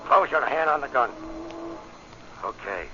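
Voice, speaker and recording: An actor playing a ruthless, domineering gangster boss in a 1940s radio drama, curt and commanding with a gravelly voice. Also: male voice, mid-range voice, expressive, measured pace, natural voice. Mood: curt, menacing. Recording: noisy, muffled old radio recording.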